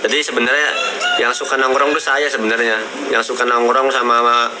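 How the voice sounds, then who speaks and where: Halting young Indonesian man, noisy livestream. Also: mid-range voice, little pitch movement. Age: 20s. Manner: halting, repeats words and phrases. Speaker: livestream host. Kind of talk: casual livestream chat, recounting personal memories. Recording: noisy live stream with clear background noise.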